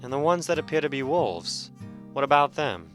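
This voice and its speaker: A man's voice: mid-range voice, sing-song, moderate pace, fairly bright tone, faint music behind. A man playing a wounded, wary and earnest masked superhero in a radio drama.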